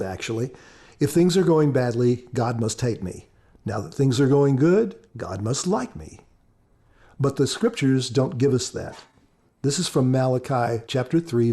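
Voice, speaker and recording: Mellow, husky man explaining a story. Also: mid-range voice, expressive, moderate pace. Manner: earnest, preacherly, explanatory. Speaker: author and co-host. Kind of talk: scripted podcast commentary and narration.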